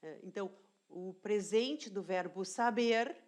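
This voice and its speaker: Woman teacher, unhurried explainer, repeats points and checks in with the class; grammar lesson read. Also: medium pitch, a little mellow. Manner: slow, deliberate explaining, repeats key phrases, checks in with "tá?". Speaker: language teacher.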